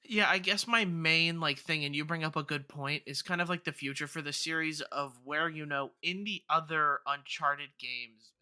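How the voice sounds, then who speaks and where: A man's voice: on the high side, fairly even intonation, moderate pace, hardly any pauses, pushed and bright, clean tone. A man co-hosting a podcast in casual discussion, earnestly rambling as he thinks aloud. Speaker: podcast co-host.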